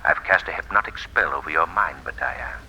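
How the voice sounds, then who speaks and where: Casting: menacing phantom avenger, very deep and piercingly forceful, deliberate old-radio delivery. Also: male voice, breathy edge, heavily acted. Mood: commanding, probing. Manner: sinister, commanding, deliberate. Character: menacing invisible mystery avenger, hypnotic man. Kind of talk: 1940s radio drama acting. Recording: noisy old radio recording.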